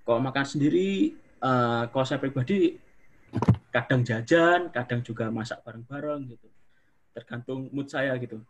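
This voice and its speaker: A young man from Indonesia, studying abroad, chatting casually as an interview guest with a relaxed, rambling manner. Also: mid-range voice, normal speed, slight background noise, poor audio. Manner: relaxed, chatty, hedging with fillers.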